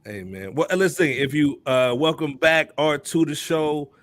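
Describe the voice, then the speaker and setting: A man's voice: a little high, unhurried, fairly bright tone, dry recording. American guy hosting a hip hop podcast, slangy, repeats himself, middle aged, dry audio. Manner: slangy, repeats phrases for emphasis. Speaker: podcast host.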